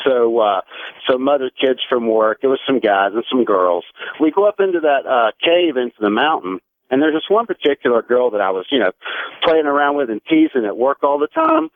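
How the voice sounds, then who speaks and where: A man's voice: mid-range voice, sing-song, moderate pace, dry recording. An American man in his forties calling a radio show, earnestly recounting a personal story in a conversational way. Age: 40s. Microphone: telephone. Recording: tinny narrow phone line on radio.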